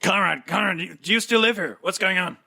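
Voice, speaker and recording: Man playing frazzled, pushy radio guy, pitched up. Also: creaky, bright, forceful tone, exaggerated voice. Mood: insistent. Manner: rambling, wheedling fast talk. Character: excitable, paranoid media man Uncle Bob, pushy and frazzled.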